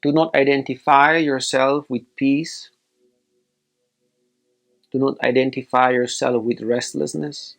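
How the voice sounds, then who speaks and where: A man leading a guided meditation as a teacher, giving measured instructions in short, separated phrases. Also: mid-range voice, level tone, gravelly, soft background music.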